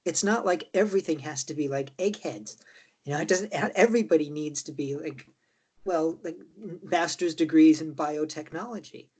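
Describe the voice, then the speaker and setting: A man's voice: on the high side, moderate pace, a little mellow, breathy edge. An Irish man in a casual political discussion, rambling and peppering his talk with tag questions. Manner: rambling, with many "like" and "right?" tags. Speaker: political party member.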